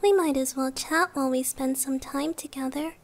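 A woman voicing a gentle, childlike dream-guardian deity in a hushed, reassuring ASMR roleplay, often close to a whisper. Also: sits high, normal speed. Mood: soothing. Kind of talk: ASMR roleplay, soft soothing delivery.

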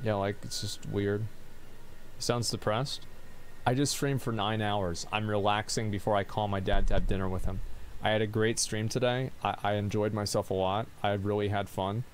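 Tired monotone man, rambling. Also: on the low side, normal speed, a little hiss or hum. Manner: rambling with many fillers and restarts. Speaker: streamer. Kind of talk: casual chat reading viewer questions.

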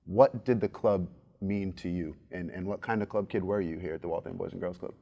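A man's voice: low-pitched, a little fast, creaky, warm tone, lo-fi sound. A man moderating a panel, asking casual, encouraging questions. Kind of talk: panel interview.

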